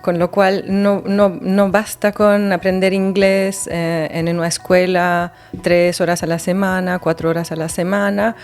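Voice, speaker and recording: A woman with a somewhat low voice explains things with lively encouragement, piling up everyday examples. Faint music sits quietly behind her. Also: measured pace, hardly any pauses. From Germany. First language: German. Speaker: language teacher. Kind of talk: educational radio chat.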